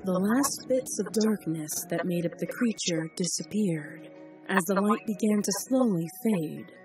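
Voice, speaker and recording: A woman narrating a fanfiction story in a measured, dramatic way. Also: mid-range voice. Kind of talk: audiobook fanfic reading. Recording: distant voice over loud music.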